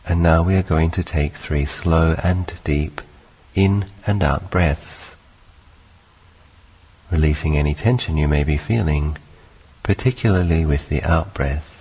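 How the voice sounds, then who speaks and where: A man leading a guided meditation, speaking calmly in short, unhurried phrases with long pauses between them. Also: extremely low pitch, slightly rough, low-quality recording. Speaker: meditation teacher.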